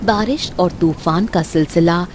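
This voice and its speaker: A woman's voice: fairly low pitch, unhurried, noisy background. A woman reading scripted storytelling narration for a moral-story cartoon. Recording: music clearly behind the voice.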